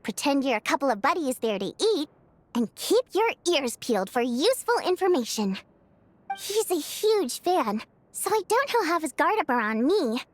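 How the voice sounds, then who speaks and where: A woman's voice: high voice, big pitch swings, normal speed. A woman playing a bubbly, scheming young female singer in a video game, chatty and coaxing in a theatrical way. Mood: conspiratorial. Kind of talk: video game character dialogue.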